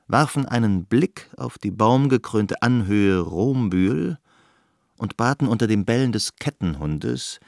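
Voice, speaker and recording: A man's voice: fairly low pitch, vocal fry, no echo, studio quality. An actor playing a cultured man recounting a country outing in composed, articulate radio drama storytelling.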